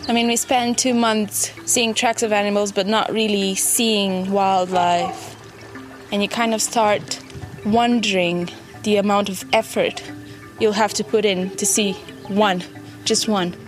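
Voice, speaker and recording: A woman with a mid-range voice reflects on an expedition. She talks slowly and informally, as a storyteller. Music is clearly present behind her. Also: medium pitch, fairly even intonation. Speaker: expedition member recounting experiences.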